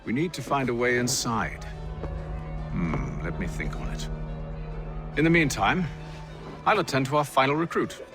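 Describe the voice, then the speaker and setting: A man voicing a composed, measured gentleman who speaks with quiet menace, over a game score. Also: medium pitch, sing-song, close to an everyday voice. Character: cool, composed British gentleman schemer. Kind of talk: video game cutscene acting. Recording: music score behind voice.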